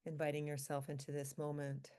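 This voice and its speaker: Low-voiced woman guiding a meditation. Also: smooth. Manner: calm, slow, pausing between short phrases. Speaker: meditation leader, physician. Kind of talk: guided meditation, live group session.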